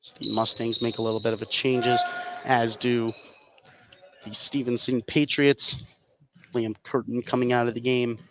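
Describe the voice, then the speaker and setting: A man's voice: mid-range voice, flat delivery, moderate pace. An American man calling live basketball play-by-play for radio from a gym. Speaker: play-by-play commentator. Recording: noisy live gym broadcast.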